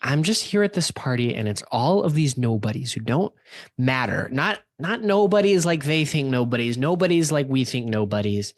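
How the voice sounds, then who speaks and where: A man's voice: mid-range voice, lively intonation, normal speed, no echo. Man playing snobby young party girl, dry sound. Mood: casual, gossipy. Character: young woman at a party, guarded, snobbish, gossipy. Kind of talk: casual improvised phone-chat acting.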